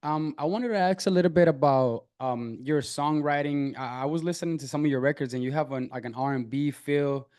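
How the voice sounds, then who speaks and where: A man's voice: on the high side, fairly quick, hardly any pauses, a little mellow. A man from Venezuela hosting a casual video-call interview, friendly with frequent restarts and fillers. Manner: friendly, stumbling restarts, lots of "um". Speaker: interviewer host.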